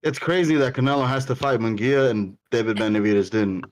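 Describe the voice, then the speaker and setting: A man's voice: medium pitch, brisk, a little hiss or hum. A man arguing insistently and with profanity in a casual boxing podcast debate. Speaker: podcast panelist.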